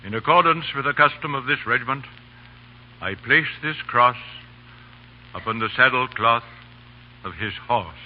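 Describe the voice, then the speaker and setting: A man's voice: mid-range voice, expressive, moderate pace, long pauses, natural voice. A man with a British accent playing a dignified, ceremonial older commanding officer in a 1930s radio drama. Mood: solemn, ceremonial. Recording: dull, faintly noisy 1930s radio recording.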